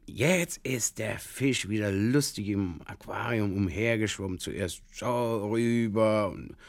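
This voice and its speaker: A man playing an earnestly muddled Bavarian fishkeeper in a halting, circuitous comic radio monologue, with a Bavarian accent. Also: lowish voice, dry recording, clean studio sound. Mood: earnestly explaining. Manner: halting, circuitous, literal-minded, over-explaining. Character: rambling, earnestly muddled Bavarian fishkeeper telling absurd tales.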